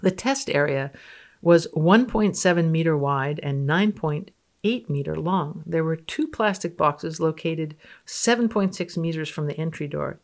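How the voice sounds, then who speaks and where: A woman physicist appearing as a podcast guest, reading research aloud and chatting in a dry, matter-of-fact way. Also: low voice. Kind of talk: dramatic reading and banter on a podcast.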